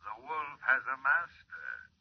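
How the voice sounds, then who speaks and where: An actor playing a sinister masked mastermind in a 1940s radio drama, giving ominous, mock-courteous threats in a husky, eerie voice over a telephone. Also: male voice, mid-range voice, huge pitch swings, slow, full character voice. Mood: menacing. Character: sinister masked male villain, menacing criminal mastermind. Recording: muffled old radio recording.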